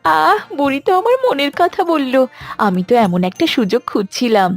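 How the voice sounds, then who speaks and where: High-pitched young girl, done by a woman, greedy, wheedling, insistent, cartoon dialogue, light music bed. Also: big pitch swings, normal speed, no room sound. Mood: eager. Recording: electronic music behind the voice.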